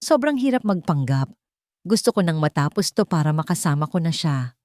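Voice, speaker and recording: A woman's voice: deep voice, big pitch swings, normal speed, darkish tone, dry recording, studio quality. Woman voicing an anguished young male CEO. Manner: heavy-hearted, pleading.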